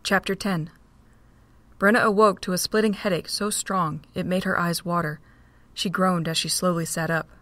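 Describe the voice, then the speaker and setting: A woman narrating a fan-made audio drama in a steady, even reading voice. Her voice sits a little low and is recorded dry and close. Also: normal speed, no echo. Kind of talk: storybook narration.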